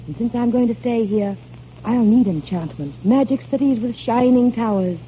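An actress playing a gentle, whimsical, genteel lady in a refined, theatrical 1940s radio style. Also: a little high, expressive, moderate pace, natural voice. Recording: muffled, noisy old radio recording.

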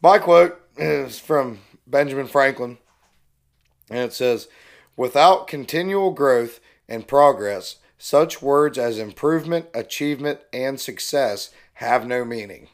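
Hoarse young male co-host, unhurried, laid-back chat. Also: medium pitch, expressive. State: hoarse, losing his voice. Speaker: podcast co-host. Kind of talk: casual chat.

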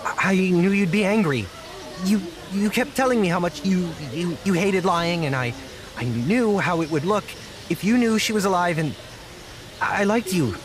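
An actor playing a naive, apologetic young zookeeper in an audio drama, speaking earnestly with halting stammers. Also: male voice, high voice, lively intonation, a crowd in the background. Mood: pleading, sorry. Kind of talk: naturalistic audio drama acting.

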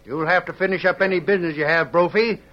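A man's voice: high-pitched, quick pace, lightly acted. An actor playing a stern, righteous man in an old radio western, emphatic and unyielding. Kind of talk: 1940s radio western acting. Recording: dull old radio recording.

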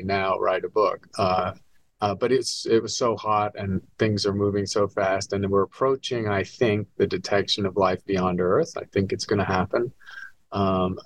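Older scottish-born guy explaining science, lowish voice, dead dry sound. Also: creaky, no echo. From Scotland. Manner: relaxed, wry, explanatory. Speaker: astronomy professor, guest author. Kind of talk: conversational interview answers.

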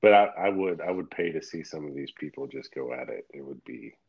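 Brief: low-voiced man, blunt, rambling, casual swearing; dull lo-fi audio. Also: expressive, fairly quick, slightly rough. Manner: rambling, frank, swears freely, laughs often. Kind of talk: casual chat.

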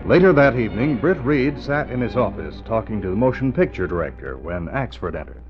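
Animated American radio announcer, male. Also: fairly low pitch, a little fast, music in the background. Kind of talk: 1940s radio scripted narration. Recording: dull old 1940s radio recording.

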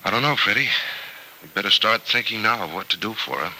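A man's voice: on the low side, expressive, a little fast, bright, forceful tone, full character voice. An actor in an old-time radio soap opera playing a sober, caring American man, thoughtful and hesitant yet resolute about helping his friend. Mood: grave. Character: grave, caring male friend, resolute and self-sacrificing. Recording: noisy vintage radio drama recording.

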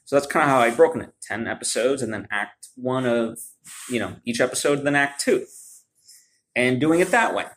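A man talking in a casual, reflective vlog monologue, conversational and halting, often checking in with the listener as he goes. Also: mid-range voice, a bit bright. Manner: conversational, halting, often seeking agreement with "right?". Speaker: vlogger, writer.

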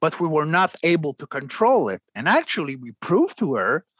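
A man's voice: on the high side, big pitch swings, normal speed, fairly bright tone, dry recording, muffled recording. Man explaining his throat singing. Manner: explains with demonstrations, clears throat often. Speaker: guest, extreme vocalist and voice actor. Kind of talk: conversational interview.

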